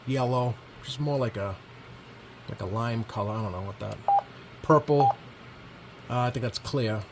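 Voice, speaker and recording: A man giving a casual hands-on product demo, speaking informally and a bit hesitantly as he thinks aloud. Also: mid-range voice. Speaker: product reviewer. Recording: clear steady background noise.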